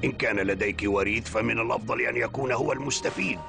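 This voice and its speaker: Man playing a gravelly, scheming cartoon baron. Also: medium pitch, very fast, close to an everyday voice. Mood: falsely polite. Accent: Modern Standard Arabic. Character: smooth-talking, scheming middle-aged male baron. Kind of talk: animated cartoon dub acting. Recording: background music under dialogue.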